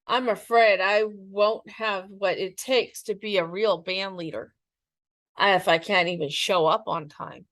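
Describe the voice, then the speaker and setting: A woman's voice: medium pitch, steady tone, measured pace, fairly bright tone, no room sound, close to an everyday voice. A woman voicing an earnest, easily discouraged young boy in a children's storybook read-aloud. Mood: downcast, worried.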